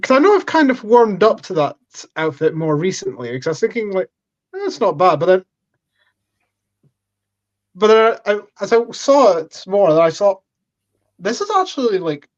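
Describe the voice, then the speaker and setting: A Scottish man chatting on a podcast, enthusiastic and chatty, quick to laugh. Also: high-pitched, very animated. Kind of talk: casual podcast chat. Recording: dull lo-fi mic.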